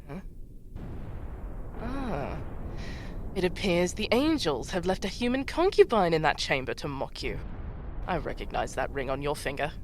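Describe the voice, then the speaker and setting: A woman playing a fierce, commanding demon chieftain in a fantasy audio drama, speaking with imperious, deliberate menace and a wry edge. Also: sits low, slight background noise. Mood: sneering, menacing. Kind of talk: fantasy audio roleplay acting.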